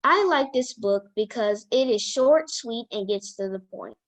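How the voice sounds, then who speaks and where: Slow, careful female reader, higher voice. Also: lively intonation. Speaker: guest reader. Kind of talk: reading a children's self-help book aloud.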